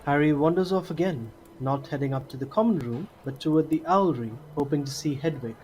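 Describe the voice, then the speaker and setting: A man's voice: on the high side, brisk, a little mellow. A man reading scripted narration for an audio drama. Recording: slightly noisy home mic.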